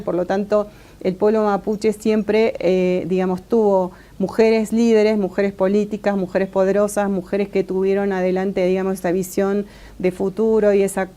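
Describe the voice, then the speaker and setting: Hesitant Argentine woman with a mellow voice. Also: medium pitch. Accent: Argentine Spanish. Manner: thoughtful, many hesitations and restarts. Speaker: interviewee, political scientist. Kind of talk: conversational interview answers.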